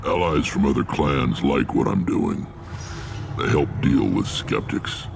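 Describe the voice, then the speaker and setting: A huge weathered alien warlord for a game, super deep gravel voice, blunt and commanding, rumble behind. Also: male voice, expressive, a little slow, heavily acted. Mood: firm, proud. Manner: blunt, authoritative. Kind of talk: video game voice acting.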